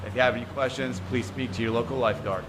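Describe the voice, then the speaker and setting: A lifeguard captain welcoming visitors in a short recorded video, upbeat and friendly. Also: male voice, medium pitch, steady tone, fast talker, background noise. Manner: upbeat, friendly public greeting. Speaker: ocean rescue captain. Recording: video clip with music underneath.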